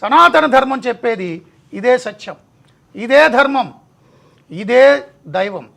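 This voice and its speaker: A man's voice: very high voice, animated, a little slow, creaky, fairly bright tone. A male TV host delivering a dramatic scripted monologue in short, weighty rhetorical phrases. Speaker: TV show host.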